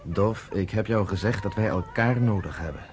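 A man's voice: fairly low pitch, moderate pace, a little hiss or hum, close to an everyday voice. An actor playing a firm, shrewd, commanding male leader in a radio drama. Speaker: underground organizer.

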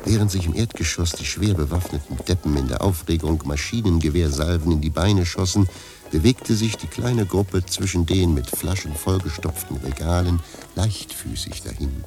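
A man reading scripted narration for a radio play in a measured, literary manner. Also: deep voice. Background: faint music.

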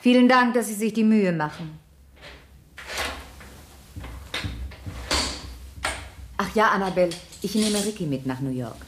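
An actress playing a composed, kindly woman with a gentle, measured, lightly wry manner in a 1970s German radio crime drama. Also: mid-range voice, expressive, noisy background, lightly acted. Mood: polite, hurried.

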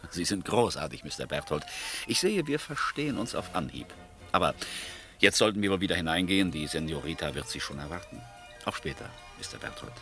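Suave villainous oil executive: low, sharply bright voice, mock-courteous pauses, radio drama, music behind. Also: male voice, animated, full character voice. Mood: oily, insinuating. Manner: urbane, mock-polite, hesitant drawl with pointed pauses. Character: suave, menacing American oil company boss in Brazil. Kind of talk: German radio play acting. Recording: studio radio play with background music.

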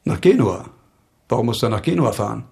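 A man's voice: medium pitch, animated, a little fast, gravelly, mellow. A hard police investigator in a radio crime drama. He has a mid-range voice and speaks in clipped, commanding phrases with a sarcastic edge. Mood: controlled, sardonic. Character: hard, sardonic male police investigator pressing for answers. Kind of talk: German radio drama acting.

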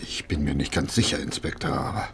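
An actor playing a tired, guilty junior policeman in a radio drama, halting, subdued and apologetic. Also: a bass voice, sing-song, vocal fry, a little hiss or hum, full character voice. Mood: shaken, hesitant.

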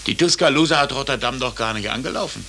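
An actor playing an officious, condescending, brusque consul in a 1940s German radio drama. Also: male voice, medium pitch, quick pace, pushed and bright. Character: officious, dismissive American consul, condescending and evasive. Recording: hissy old radio drama recording.

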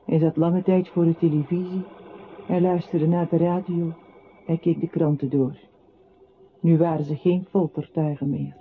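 A woman performing as the narrator of a literary radio play, telling a man's story in a measured way. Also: low voice, steady tone, lightly acted. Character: woman narrator recounting a troubled man's life. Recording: tinny band-limited audio with clear noise.

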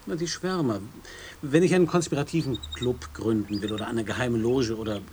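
An actor playing a skeptical, wry American reporter who questions and narrates in a radio play. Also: male voice, mid-range voice, a little fast, a little mellow, slight background noise, lightly acted.

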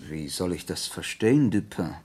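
Male sidekick in a radio play: husky lowish voice, attentive, puzzled, earnestly questioning. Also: expressive, moderate pace, a little mellow. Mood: puzzled, curious. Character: detective's attentive, puzzled male friend and confidant. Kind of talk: German radio drama dialogue.

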